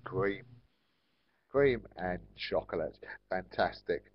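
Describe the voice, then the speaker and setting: An English man with a low voice teaches an online class at a very slow pace. He is polite and encouraging, addressing learners in simple, measured phrases, and the lo-fi call audio is very dry. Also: expressive. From England.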